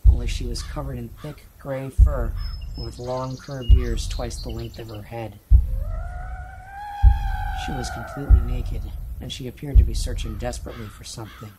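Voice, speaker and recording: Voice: mid-range voice, fairly even intonation, normal speed, poor audio. Dramatic storyteller over music. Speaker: narrator of an amateur radio play. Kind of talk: scripted first-person story narration.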